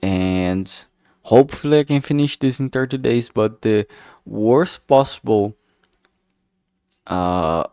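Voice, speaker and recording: A man talking casually while working on a solo stream, halting and rambling, often trailing off mid-sentence and swearing freely. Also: on the low side, expressive, warm tone, clear, resonant tone, no echo, studio quality. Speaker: solo streamer developing a visual novel. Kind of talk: casual work-along stream monologue.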